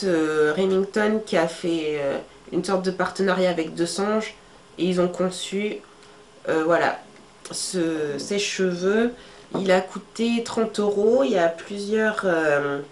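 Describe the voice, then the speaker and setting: Chatty woman with a cold, echoey room. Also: on the low side. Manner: chatty, many fillers, shows items to camera. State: congested with a cold. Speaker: beauty vlogger. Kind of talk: casual how-to talk to camera. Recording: echoey room with faint ambient noise.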